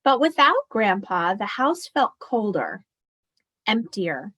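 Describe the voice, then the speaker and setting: A woman's voice: mid-range voice, big pitch swings, slow pace, sharp tone. A woman teacher reading a picture book aloud expressively and explaining it to children as she goes.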